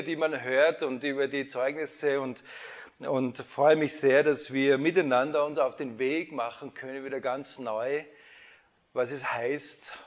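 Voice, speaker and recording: A man preaching an Easter sermon, reading scripture and building to fervent rhetorical questions. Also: medium pitch. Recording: dull lo-fi sound.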